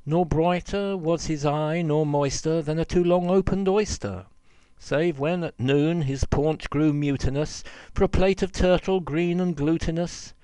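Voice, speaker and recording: A man reading a children's verse story aloud in an expressive, theatrical and rhythmic way. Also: on the high side, unhurried, clean tone, no echo. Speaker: reader, storyteller.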